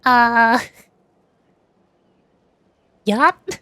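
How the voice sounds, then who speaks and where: High-voiced woman streamer musing over a game. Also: extremely slow, sharp tone, no echo, clean studio sound. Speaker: VTuber streamer. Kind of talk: casual game playthrough commentary. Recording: game music faintly behind.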